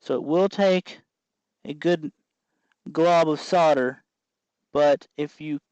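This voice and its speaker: Clear-voiced man giving a casual hands-on tutorial. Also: on the high side, slow pace, a little mellow, no echo, highs cut off. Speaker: host of a DIY electronics tutorial. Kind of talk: casual hands-on tutorial, explaining while working.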